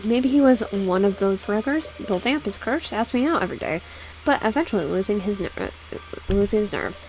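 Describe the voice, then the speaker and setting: Halting woman reading aloud over music. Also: mid-range voice, animated, a little mellow, low-quality recording. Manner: halting, stumbles over words and repeats phrases. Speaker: let's player reading a visual novel aloud. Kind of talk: casual read-aloud of game text with personal asides. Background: background music.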